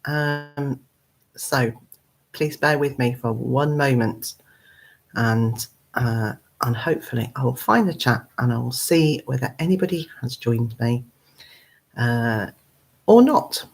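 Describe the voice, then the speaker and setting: A woman with a hoarse, croaky voice, hosting a casual live chat from her computer at home, rambling with many ums and uhs. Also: rumbling low, takes it slowly, long pauses. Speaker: gardening channel host.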